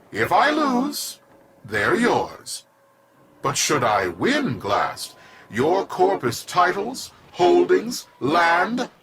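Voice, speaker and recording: A man's voice: a little high, gravelly, background noise, heavily acted. A man playing a smug, grandiose, greedy corporate villain in a video game, speaking in a theatrical, gloating way. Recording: music behind the voice.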